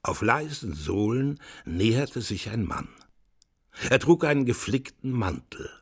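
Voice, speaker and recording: A man's voice: mid-range voice, sing-song, unhurried, husky, heavily acted. A man narrating a children's radio play as its storyteller, reading scripted prose. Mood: descriptive.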